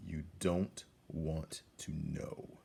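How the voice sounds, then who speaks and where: A man voicing a big, hooded mouse guard in a storybook, deep and hushed, with a slow, ominous manner. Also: flat delivery, vocal fry, a little hiss or hum, heavily acted. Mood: grim.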